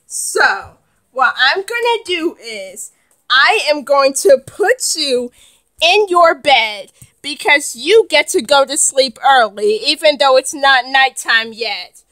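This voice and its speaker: A woman's voice: high voice, expressive, slow, sharp tone, heavily acted. A woman playing a firm mother who lectures her children. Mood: stern scolding. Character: stern, scolding mother.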